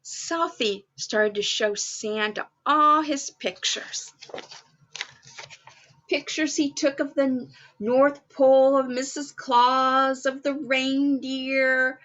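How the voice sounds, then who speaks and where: A woman's voice: sits high, fairly even intonation, slow pace, sharp tone, highs cut off. A woman reading a children's story in a sing-song, child-directed way, chatting to young listeners in the role of Mrs. Claus. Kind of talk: children's story time read-aloud with asides.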